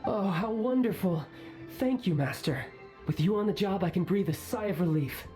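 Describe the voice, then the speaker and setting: A woman's voice: mid-range voice, expressive, moderate pace, warm tone, faint music behind, lightly acted. A woman voicing an eager, deferential guild clerk in a game. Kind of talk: game dialogue.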